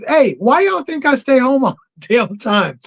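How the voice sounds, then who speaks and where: A man hosting a casual livestream and taking callers, animated, often repeating himself for emphasis. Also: very high voice, normal speed, gravelly. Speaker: livestream host. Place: home. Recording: dull lo-fi stream audio.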